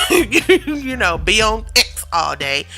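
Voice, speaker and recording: A woman's voice: fairly high pitch, huge pitch swings, slow pace, vocal fry, sharp tone, soft background music. A woman from East Texas with an East Texas accent, co-hosting a casual podcast chat and acting out voices when she retells things. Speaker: podcast co-host.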